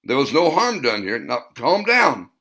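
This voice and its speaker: A man voicing a big, easygoing trucker with a booming voice in an audiobook. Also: wildly swooping pitch, unhurried, sharp tone, full character voice. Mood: soothing. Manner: booming, coaxing.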